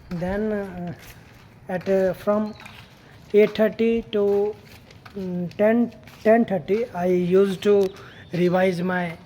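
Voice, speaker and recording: A young woman from Bihar, India, with an Indian English accent and Hindi as her first language, practicing spoken English in a halting, unscripted monologue full of fillers and restarts. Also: lowish voice, mellow, a little hiss or hum, poor audio. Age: young adult.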